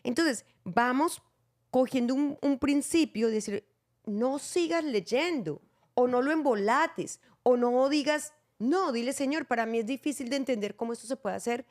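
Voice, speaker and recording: A woman's voice: high voice, moderate pace, a little mellow. Earnest woman explaining Bible teaching. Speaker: host.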